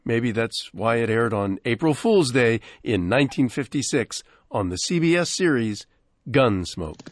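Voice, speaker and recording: A man's voice: medium pitch, lively intonation, measured pace, no room sound. An American man hosting a scripted vintage radio programme on a close studio microphone. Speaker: radio show host.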